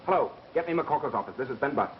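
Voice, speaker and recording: An American actor playing a brisk, bossy amateur sleuth with a wry edge, in 1930s film style. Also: male voice, medium pitch, expressive, lightly acted. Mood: urgent. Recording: dull, noisy vintage film soundtrack.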